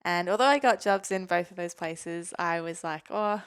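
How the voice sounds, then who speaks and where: A woman's voice: on the low side, moderate pace, clean tone, no room sound. A young woman from Norway, a physiotherapist guest on a podcast, chatting casually with lots of ums and easy laughs. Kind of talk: casual interview chat.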